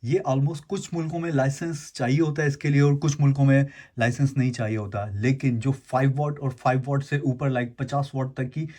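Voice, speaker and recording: A man's voice: medium pitch, fairly even intonation, a little fast, hardly any pauses, mellow. A man hosting a casual, chatty gadget unboxing and explainer, informally mixing English words into his talk. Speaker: YouTube host unboxing and reviewing gadgets.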